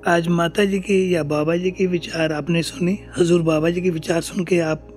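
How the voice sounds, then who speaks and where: High-pitched man reminiscing in conversation. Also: flat delivery, moderate pace, a little mellow, soft background music. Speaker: guest recalling his father.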